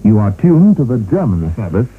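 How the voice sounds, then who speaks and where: A man's voice: lowish voice, sing-song, normal speed, soft background music. A man working as a station announcer, reading a formal radio station identification. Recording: dull, noisy shortwave radio recording.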